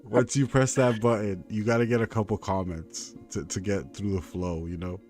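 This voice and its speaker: A man's voice: on the low side, fairly even intonation, moderate pace, darkish tone. A man hosting a live gaming stream, chatting in a casual, laid-back way. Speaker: stream host.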